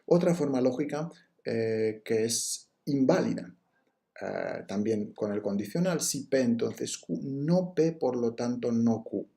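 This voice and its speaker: Profe explicando lógica, voz grave y cascada, tono apagado, muchos "eh" y "em". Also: male voice, mid-range voice, expressive, takes it slowly, gravelly, mellow. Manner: explanatory, hesitant with frequent fillers and restarts. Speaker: university lecturer. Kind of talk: recorded logic lecture.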